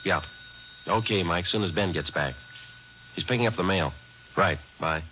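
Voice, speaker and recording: An actor as a police detective sergeant who narrates, speaking in a terse, deadpan, matter-of-fact way. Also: male voice, low-pitched, normal speed, lightly acted. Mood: clipped. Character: clipped, unflappable male police detective. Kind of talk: 1950s radio crime drama. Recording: noisy, muffled old radio recording.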